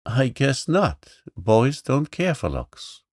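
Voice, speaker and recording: A man's voice: medium pitch, big pitch swings, takes it slowly, darkish tone, dry recording, studio quality, pitched above the performer's own voice, exaggerated voice. A man reading aloud, voicing a meek, poor young boy who is lame and speaks in humble, plain slang. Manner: humble, plain-spoken, says "ain't"-style slang.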